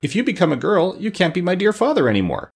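A man's voice: deep voice, big pitch swings, fast, slightly rough, exaggerated voice. A man voicing a meek, naive wooden man with a pumpkin head in a storybook, earnest and simple. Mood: gasping dismay. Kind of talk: storybook character voice.

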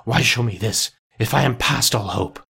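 A man's voice: medium pitch, normal speed, creaky, bright, forceful tone, slightly rough, heavily acted. A man playing an old reformed miser in a storybook reading, speaking fervently in repeated exclamations. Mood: desperate pleading.

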